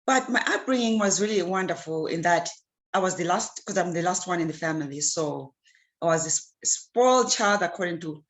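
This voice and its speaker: A woman from Zimbabwe, an interview guest who answers earnestly and often pauses on fillers and restarts. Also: fairly low pitch, expressive. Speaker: guest, clean water advocate.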